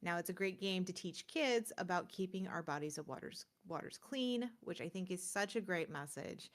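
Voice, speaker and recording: A woman's voice: on the low side, normal speed. A woman co-hosting a gaming podcast, chatting casually and informally as she presents game picks in a rambling way.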